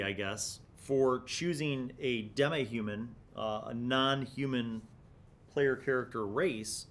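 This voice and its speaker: Middle-aged male host, mid-range voice, casual explanatory commentary on retro gaming, thoughtful and halting, studio mic. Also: medium pitch, a bit bright. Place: small indoor studio room. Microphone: large desktop studio microphone.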